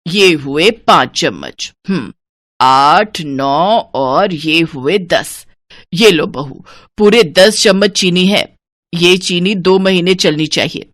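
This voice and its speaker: A low, dry-recorded voice of a miserly older mother-in-law in a family storybook tale, acted by a woman. She is bossy and cunning, scolding and commanding as she guards every penny. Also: lively intonation, slow, fairly bright tone, dry recording. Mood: bossy, frugal.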